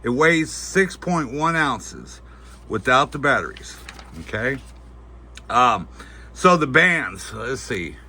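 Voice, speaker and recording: A man's voice: medium pitch, sing-song, takes it slowly, bright, forceful tone. A man giving a casual, unscripted product review in a folksy, hesitant, rambling way.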